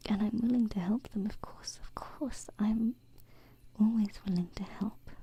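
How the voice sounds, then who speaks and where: Woman as gentle alien princess, ASMR roleplay: whispered, close-miked, tender, wistful, slightly hesitant. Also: medium pitch, natural voice. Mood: wistful, earnest. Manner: soft, hesitant, tender, unhurried. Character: gentle, wistful young alien princess, female, far from home. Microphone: close binaural ASMR microphone.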